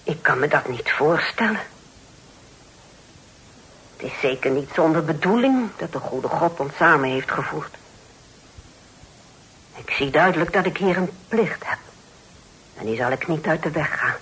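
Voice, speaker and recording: An actress playing a pious, stern but charitable older aunt in a radio play, speaking in a preachy, solemn manner. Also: female voice, sits low, lively intonation, a little slow, pauses often, a bit bright, slightly rough, heavily acted, poor audio. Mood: kindly insistent. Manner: preachy, scripture-quoting, solemn exclamations. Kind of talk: Dutch radio play acting. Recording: old radio play recording.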